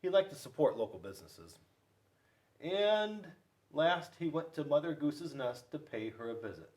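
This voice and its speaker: Animated man reading to young children. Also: on the high side, moderate pace. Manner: playful, addressing young listeners with questions. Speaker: school resource officer reading to children. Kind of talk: animated children's read-aloud.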